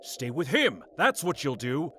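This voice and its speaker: An actor playing a righteous, hot-tempered guardian warrior, stern and urgent, in a fantasy audio drama. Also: male voice, a little high, sing-song, a bit bright, slight background noise. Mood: stern anger. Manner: stern, urgent, quick to anger.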